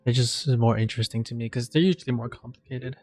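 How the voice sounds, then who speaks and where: A man's voice: medium pitch, fast, a little mellow. A man giving casual, offhand gameplay commentary with game music behind him. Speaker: gamer playing through a game's story quests. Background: video game music.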